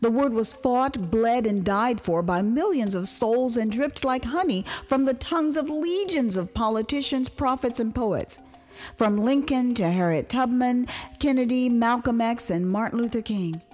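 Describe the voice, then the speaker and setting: Expressive woman storyteller over music. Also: a little high. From United States. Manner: expressive and theatrical, with acted asides and dramatic pauses. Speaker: author narrating her own memoir as an audio drama. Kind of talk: dramatized first-person storytelling.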